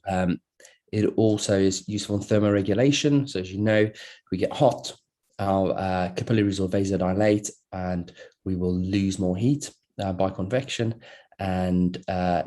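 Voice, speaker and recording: A middle-aged man from South Africa, a plastic surgeon giving a relaxed online lecture over a video call, with many fillers and restarts. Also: low voice, moderate pace. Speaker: plastic surgeon presenting a webinar. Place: at work, speaking into a computer.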